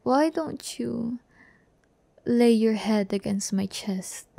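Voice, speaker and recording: A woman's voice: on the high side, slow pace, vocal fry, warm tone, no echo. A woman playing a seductive, haughty yet tender demon in an ASMR roleplay, teasing and often near a whisper. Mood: tender, soothing.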